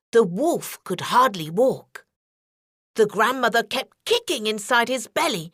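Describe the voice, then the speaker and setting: Animated, slow-paced female storyteller. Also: high voice, dry recording, studio quality. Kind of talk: scripted children's story narration.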